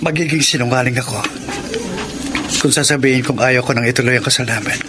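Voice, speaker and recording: A man's voice: mid-range voice, fast, very noisy, lightly acted. A Filipino man acting a wounded but forgiving young groom in a romantic radio drama, earnest and solemn. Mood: solemn devotion. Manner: earnest, solemn, self-sacrificing.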